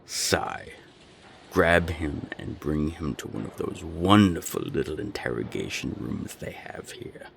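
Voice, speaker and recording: A man playing a menacing naga gang boss in an audio roleplay, gravelly and deliberate, with cold, taunting threats. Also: expressive, a bit bright, slight background noise, full character voice. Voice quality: very deep, gravelly. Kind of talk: audio roleplay drama acting.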